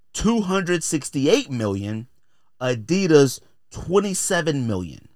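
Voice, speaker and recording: A man's voice: a little high, no echo, clean studio sound. A man hosting a podcast in a casual, opinionated rant, emphatic and exasperated, repeating phrases to drive points home. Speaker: podcast host.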